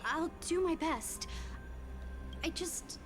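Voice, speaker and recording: A woman's voice: very high voice, slow. A woman playing a shy, self-doubting young apprentice healer in a video game, polite and hesitant. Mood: humble, admiring. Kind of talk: scripted game dialogue. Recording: music playing behind the voice.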